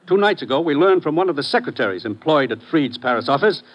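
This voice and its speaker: An actor playing a brisk American government commissioner, giving measured, businesslike briefings in a 1950s radio drama. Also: male voice, a little high, very animated, poor audio. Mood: grave, businesslike.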